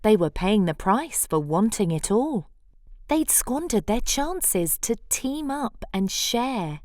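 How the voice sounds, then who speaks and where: A woman reading a rhyming children's picture book aloud in a dramatic, playful way with deliberate phrasing. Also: a little high, sing-song, darkish tone, no echo. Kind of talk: expressive rhyming storybook read-aloud.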